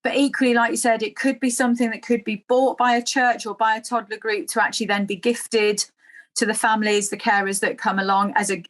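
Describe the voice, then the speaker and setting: A woman's voice: mid-range voice, fairly bright tone. A woman hosting an online webinar on a video call, encouraging her guest and summing up what was said. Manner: encouraging, summarizing. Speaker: webinar host.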